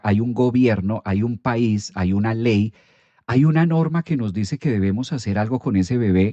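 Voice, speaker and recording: A man giving a calm, explanatory astrology talk during a live stream, with an occasional filler "eh". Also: fairly low pitch, dry recording, muffled recording.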